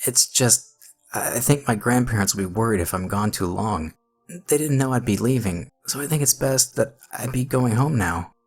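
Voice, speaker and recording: A man's voice: mid-range voice, slow, fairly bright tone, slightly rough, pitched above the performer's own voice, full character voice. Man as a frightened polite boy, raised shaky voice, hesitant, horror storybook, faint music. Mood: frightened, pleading.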